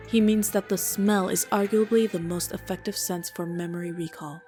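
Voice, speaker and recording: A woman's voice: mid-range voice, fairly even intonation, moderate pace, warm tone, lightly acted. Woman doing a dry, fed-up lady doctor, plain mid voice, music faint in the back. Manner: dry and exasperated. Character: dry, level-headed woman doctor, young adult. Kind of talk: amateur game fan dub.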